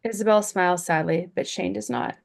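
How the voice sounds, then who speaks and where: A woman reading stage directions as scripted narration, with a creaky voice. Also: fairly low pitch, a little fast.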